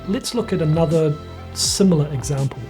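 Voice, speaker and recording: A woman presenting a language lesson in a scripted, measured, explanatory way. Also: deep voice, dark tone. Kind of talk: scripted instructional narration. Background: background music.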